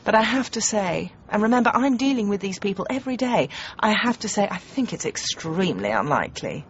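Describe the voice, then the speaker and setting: A worldly, domineering female TV producer in a radio comedy drama. Her delivery is brisk and very animated, with sardonic emphasis and a habit of cutting in. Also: medium pitch, lo-fi sound, a little hiss or hum. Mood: persuasive.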